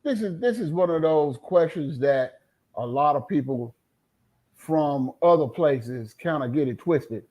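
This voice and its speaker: A man from North Carolina tells playful, boastful stories as a guest. His voice sits high. The sound is dull and lo-fi. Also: expressive, a little slow. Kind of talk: casual storytelling.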